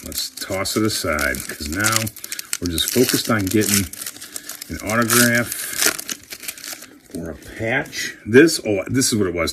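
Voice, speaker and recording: A man chatting casually and excitably while opening trading card packs, swearing now and then. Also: on the low side, a little hiss or hum. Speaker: career coach and card collector host.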